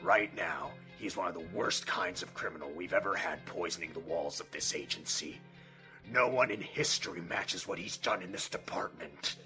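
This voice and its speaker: A man playing a bitter, hot-headed, foul-mouthed detective in a radio drama, seething in a gravelly, forceful voice. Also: low-pitched, normal speed, faint music behind. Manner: explosive, seething. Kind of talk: amateur radio drama acting.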